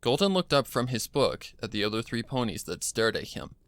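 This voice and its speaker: A man's voice: mid-range voice, sing-song, a little fast, dry recording. A man reading a scripted horror story as a dramatic narrator, with music behind him. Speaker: fan fiction narrator. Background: background music.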